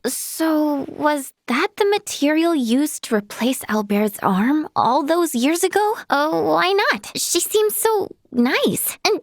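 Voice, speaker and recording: A woman voicing a cheerful, earnest young female healer in a fantasy video game, bubbly and expressive. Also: high-pitched, no echo, clean studio sound, full character voice. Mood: curious, puzzled. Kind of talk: animated fantasy game character acting.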